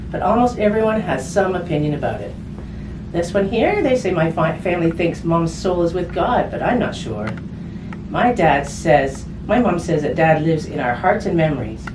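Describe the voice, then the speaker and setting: Woman, children's book read-aloud, lively swooping intonation, simple explanations, distant noisy recording. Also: fairly low pitch. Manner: addresses young listeners directly, explains simply. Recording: distant mic, roomy echo, clear background noise.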